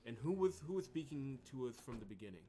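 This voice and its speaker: A man doing let's play commentary, thinking aloud in animated bursts. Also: mid-range voice, fast talker, a little hiss or hum. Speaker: game playthrough host. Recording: music behind the voice. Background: video game music.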